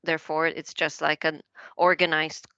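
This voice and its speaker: Bright, clear-voiced woman language coach. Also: deep voice, level tone, moderate pace, no room sound, highs cut off. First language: Polish. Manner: chatty, laughs often, many fillers and restarts. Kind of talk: podcast interview.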